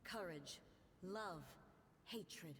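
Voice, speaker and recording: A woman's voice: lowish voice, very slow, a bit bright, slight background noise. A woman playing a stern, regal warrior ruler in an anime-style video game, solemn, formal and commanding. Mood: resolute.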